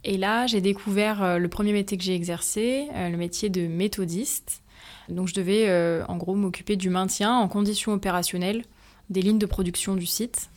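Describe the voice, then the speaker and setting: A young French woman talks through her career in an interview, pausing often on hesitant fillers. Her voice sits in an ordinary middle pitch. She explains each step carefully. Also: steady tone, a little mellow. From France. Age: 20s. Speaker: interview guest, factory team manager. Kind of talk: answering interview questions.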